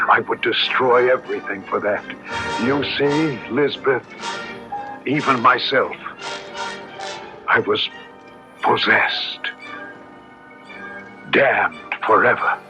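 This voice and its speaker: An actor playing a haunted, possessed man in an old-time radio drama, grave, halting and dramatic. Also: fairly low pitch. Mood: tormented. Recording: muffled old radio broadcast, music behind.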